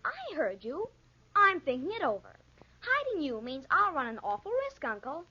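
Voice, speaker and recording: A woman's voice: high voice, very animated, normal speed, bright, forceful tone, faint music behind, full character voice. A woman voicing a cheeky, calculating young boy in a 1940s radio drama. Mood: sly, taunting. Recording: muffled, dated 1940s radio recording.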